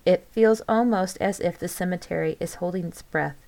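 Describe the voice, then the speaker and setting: A woman's voice: fairly low pitch, normal speed, vocal fry, darkish tone, pitched above the performer's own voice. A woman voicing a friendly young man in a spooky story, chatty and earnest. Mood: uneasy.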